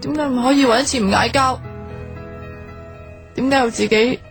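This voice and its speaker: A high-pitched, feisty young woman in a radio drama, with faint music behind her. She speaks Hong Kong Cantonese, blunt and bossy, with a sharp, expressive tongue. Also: normal speed, vocal fry. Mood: hurt, accusing. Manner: blunt, teasing, expressive slangy scolding. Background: background music.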